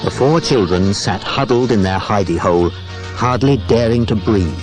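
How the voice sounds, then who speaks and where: A man's voice: fairly low pitch, moderate pace, over music, low-quality recording. A man giving scripted narration for a children's adventure story.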